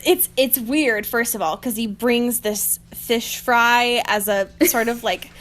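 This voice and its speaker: A woman's voice: fairly high pitch, slow, fairly bright tone. A woman co-hosting a podcast in casual banter, giggly and sarcastic, given to exclaiming. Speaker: podcast co-host. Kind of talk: casual chat, book recap banter.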